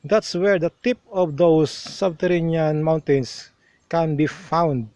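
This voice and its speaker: Filipino man, travel vlogger, slightly high voice, slow and explanatory, recorded outdoors on a camera mic. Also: darkish tone, no echo. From Philippines. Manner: explanatory, unhurried, with restarts. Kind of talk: outdoor travel vlog narration. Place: outdoors on a hilltop shrine.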